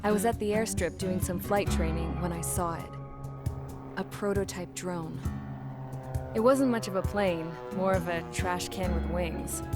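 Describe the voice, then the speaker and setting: A woman's voice: fairly low pitch, measured pace, natural voice. A woman voicing a wry young pilot girl. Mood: wistful. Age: young adult. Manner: plain-spoken, wry narration. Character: young female mechanic pilot, tough and wistful. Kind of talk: game cutscene narration. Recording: narration over loud music.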